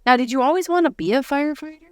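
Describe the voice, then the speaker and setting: A woman's voice: sits high, rapid-fire, dry recording, clean studio sound. A woman interviewing someone in a casual, chatty way, reacting and interjecting as she listens.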